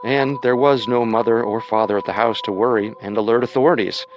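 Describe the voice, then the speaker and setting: A man narrating a scripted true-crime story with measured, dramatic storytelling. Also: mid-range voice, fairly even intonation, muffled recording, a little hiss or hum.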